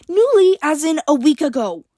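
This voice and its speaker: A woman's voice: fairly high pitch, moderate pace, no echo, clean studio sound, natural voice. A woman voicing a fierce, protective mother who snaps and growls her lines. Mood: angry. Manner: sharp, snapping, loud.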